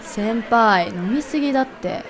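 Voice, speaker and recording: A woman playing a gruff but secretly lovestruck younger male colleague, speaking casually and with understated exasperation in an amateur radio drama. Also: fairly high pitch, animated, unhurried, some chatter behind. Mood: exasperated.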